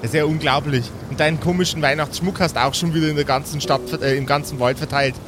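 A man voicing a grumbling dwarf who scolds in a halting, stammering, indignant way in an improvised audio play. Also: a little high, steady tone, noisy background, lightly acted. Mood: indignant, flustered.

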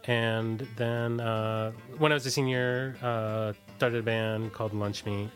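A man's voice: lowish voice, fairly even intonation, takes it slowly, soft background music. A middle-aged American man chatting casually as an interview guest, in a loose, hesitant, wandering way. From Washington, D.C., United States. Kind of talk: casual chat. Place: radio studio.

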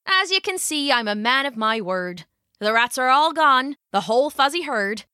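A woman's voice: sits high, expressive, normal speed, sharp tone, smooth, no echo, clean studio sound. A woman voicing a jaunty male piper, a wandering storybook trickster who speaks in sing-song rhyme. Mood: jaunty, confident. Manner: sing-song rhyming delivery.

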